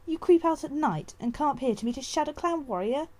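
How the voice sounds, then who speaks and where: A woman's voice: high voice, expressive, brisk, darkish tone, dry recording, voice pushed up. A woman voicing a feisty young female apprentice cat in an audiobook, indignant and challenging. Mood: defiant.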